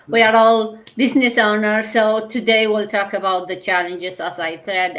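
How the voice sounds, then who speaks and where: Woman host with a mid-pitched voice. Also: fairly bright tone. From Romania. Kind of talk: conversational introduction of a panel discussion.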